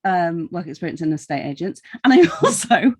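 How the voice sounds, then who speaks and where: A woman's voice: fairly low pitch, very animated, a little fast, a little mellow. A British woman bantering casually as a podcast interviewer, in an irreverent, sweary way.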